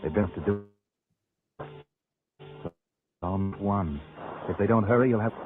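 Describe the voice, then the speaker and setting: A man's voice: on the low side, fairly quick, natural voice. A man playing a sinister, taunting narrator in a 1940s radio suspense drama, speaking insinuatingly and urgently to a fugitive. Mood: mocking, smug. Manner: insinuating, urgent second-person address. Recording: tinny old radio, loud music behind.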